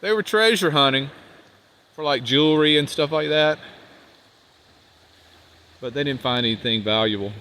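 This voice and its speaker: A man with a congested, nasal voice, talking casually to the camera outdoors as a van life vlogger, rambling and trailing off quietly. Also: on the high side, moderate pace, pauses often. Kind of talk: casual vlog talk while walking. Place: outdoors by a riverbank park.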